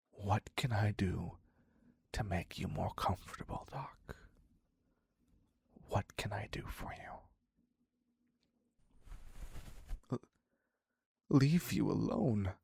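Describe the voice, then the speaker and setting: A man playing an obsessive, clingy criminal captor in an intimate audio roleplay, husky and halting, slipping into whispers. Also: lowish voice, a little mellow, no room sound, clean studio sound, heavily acted. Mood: coaxing, needy. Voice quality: husky, breathy, gravelly. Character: obsessive male yandere captor, ex-asylum criminal, clingy and needy.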